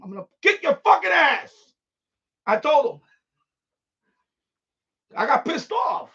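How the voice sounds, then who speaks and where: A man with a husky voice, telling stories in a blunt, repetitive, sweary way on a casual live stream. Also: very high-pitched. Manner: blunt, profane, repeats himself, animated. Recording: dull, lo-fi livestream audio.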